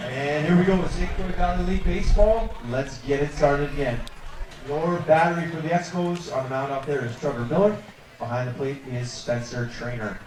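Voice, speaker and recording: A man making formal public address announcements at an outdoor ballpark, in a measured announcing manner. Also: a little mellow. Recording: booming echo from a distant PA.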